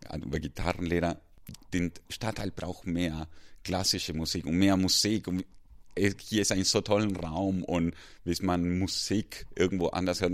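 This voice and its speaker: Middle-aged man, South American Spanish accent, very low voice, halting, laughs easily; music school head in casual chat. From Ecuador.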